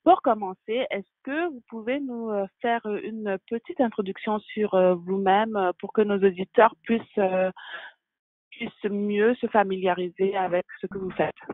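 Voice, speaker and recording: A woman hosting an interview podcast, asking questions conversationally with halting, repeated words. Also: medium pitch, no room sound, narrow, tinny audio. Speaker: podcast host.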